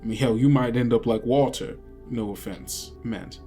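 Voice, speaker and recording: A man's voice: mid-range voice, normal speed, dark tone, soft background music, lightly acted. A man playing a weary, guarded, folksy small-town sheriff in a tabletop roleplay game. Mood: grim warning. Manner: folksy, colloquial.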